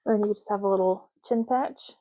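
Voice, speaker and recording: A woman speaks softly in an ASMR roleplay style, with a dark, relaxed tone at a medium pitch. She rambles gently and takes her time, addressing the listener one to one. The recording is a little dull and muffled. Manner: unhurried, rambling, talking intimately to the listener. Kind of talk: soft-spoken ASMR roleplay.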